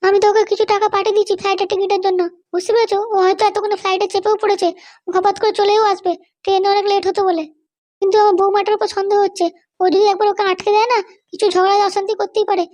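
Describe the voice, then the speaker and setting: A middle-aged Bengali wife with an extremely high, childlike cartoon voice. She talks quickly and bossily, nagging and commanding, always suspicious and scheming. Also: little pitch movement, a little mellow. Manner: nagging, commanding, keeps demanding to be understood. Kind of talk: animated comedy cartoon dialogue.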